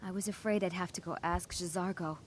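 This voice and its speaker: A woman's voice: on the low side, fairly even intonation, brisk, slight background noise, natural voice. A woman acting an earnest young student mage in a fantasy video game, polite and somewhat awkward and hesitant. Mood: flustered, eager.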